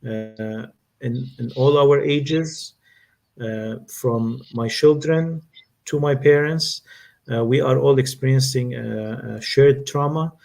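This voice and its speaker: A man moderating an online talk, giving formal speaker introductions in a measured, earnest way over a video call. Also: mid-range voice, creaky, darkish tone. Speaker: webinar moderator.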